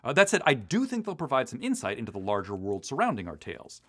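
An American man speaks as a podcast co-host in a conversational style. His pitch moves around freely, giving his explanations a lively contour. He is articulate and sets things out clearly. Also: fast talker. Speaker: podcast co-host and audio drama writer.

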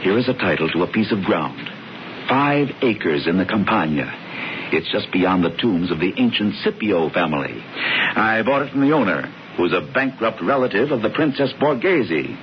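An assured schemer in an old radio play, brisk and coaxing with widely swinging intonation. The sound is dull, with faint noise underneath. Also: male voice, on the low side, sing-song. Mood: upbeat, reassuring. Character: George, confident, scheming, unflappable brother. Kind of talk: 1970s radio drama acting.

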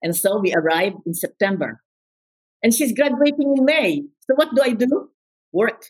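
A woman's voice: fairly high pitch, expressive, normal speed, slightly rough, poor audio. An older woman with a Filipino accent, telling her life story as an interview guest in an emphatic, repeating way. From Philippines. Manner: emphatic, repeats words, quotes others.

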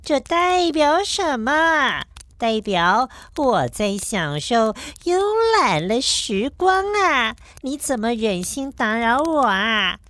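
男人扮演的懒洋洋爱抱怨的黑猫. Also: male voice, very high-pitched, very animated, bright, forceful tone, voice pushed up, exaggerated voice. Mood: whiny complaining. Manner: whiny, complaining, drawn-out. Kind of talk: storybook voice acting.

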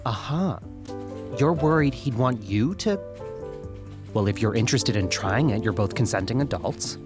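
An actor playing a polite, patient young man who works in phone customer service, tactful and a little halting, in a comedic audio drama. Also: medium pitch, lively intonation, over music, natural voice. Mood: tactful, awkward. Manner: professionally courteous, tactful, halting on awkward questions.

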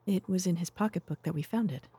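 Woman acting a soft-toned, husky young gentlewoman. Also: lowish voice, fast. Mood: composed, polite. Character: composed, courteous young gentlewoman client. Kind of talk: audio drama acting.

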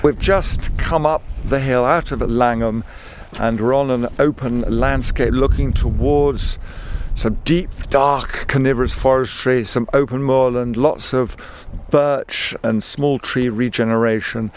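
A man's voice: mid-range voice, fairly even intonation, a little slow, slight background noise. A man presenting and interviewing outdoors on a hillside, curious and conversational, often repeating words as he asks. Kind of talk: walking field interview.

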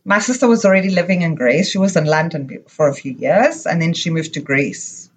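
A middle-aged woman from Zimbabwe, a podcast guest recalling her life abroad in an unhurried, meandering way with many pauses and fillers. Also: deep voice, animated, slightly rough. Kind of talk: casual interview conversation.

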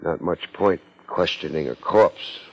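A man's voice: sits low, unhurried, creaky. An American man playing a hard-boiled, wisecracking private investigator in a 1950s radio drama, sardonic and unruffled. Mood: wry narrating. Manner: sardonic, unruffled, wry first-person narration and blunt questioning. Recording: muffled 1950s radio recording with music.

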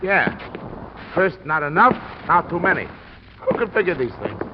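Casting: world-weary American patrolman, high-pitched, surly, curt, noisy golden-age radio sound. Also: sing-song, moderate pace. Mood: surly, grumbling. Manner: curt, grumbling. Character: surly, world-weary male policeman guarding a door. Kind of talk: 1940s-50s radio crime acting. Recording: dull, noisy old radio recording.

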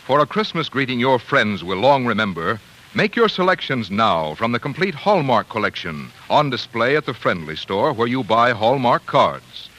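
A man's voice: mid-range voice, sing-song, moderate pace, sharp tone, low-quality recording. An American man reading scripted announcements as a 1940s radio announcer, on an old broadcast. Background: music.

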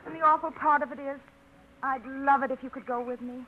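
A woman's voice: sits high, steady tone, fairly quick, a little hiss or hum, lightly acted. An actress playing a young woman torn by a suitor's proposal, in 1950s radio drama style, breathless and scolding herself. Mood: flustered. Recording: muffled 1950s radio broadcast.

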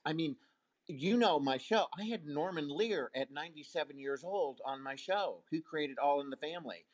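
A man in his fifties, a talk show host, speaking in an animated storytelling way in a casual conversation. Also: a little high. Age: 50s.

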